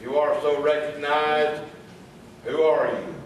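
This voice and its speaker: A man's voice: on the high side, level tone, slow pace. A man playing a pompous, dim lodge leader with a ceremonious, dismissive manner in a comic radio play. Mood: solemn, pompous. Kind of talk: comic radio theatre acting. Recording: echoey hall, distant mic, faint noise.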